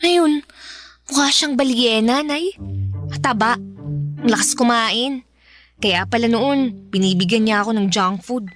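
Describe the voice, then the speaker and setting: A woman's voice: fairly high pitch, measured pace, pauses often, music in the background, lightly acted. An actress playing a dutiful, polite young daughter who hides her hurt, speaking with a Filipino accent in radio drama style. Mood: brave, affectionate. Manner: polite, deferential.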